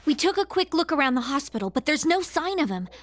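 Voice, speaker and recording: An actress playing a tender-hearted teenage girl in an English video game dub, speaking in an emotional, halting way. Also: sits high, big pitch swings. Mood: worried.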